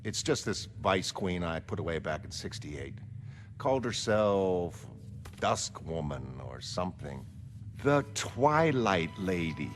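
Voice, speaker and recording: Mild, awkward ex-hero in a motion comic; slightly low voice, slow delivery, hesitant and wistful. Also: male voice, sing-song, soft background music, exaggerated voice. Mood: embarrassed. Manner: hesitant, self-effacing. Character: mild, awkward, wistful middle-aged retired male costumed hero. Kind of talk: motion comic character acting.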